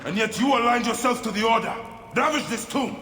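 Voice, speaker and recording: An actor playing a stern warrior hero in a video game, terse and accusing. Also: male voice, very high-pitched, animated, fairly quick, slight background noise, full character voice. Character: stern male warrior hero confronting a villain. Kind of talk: dramatic video game acting. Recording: reverberant hall, loud music behind.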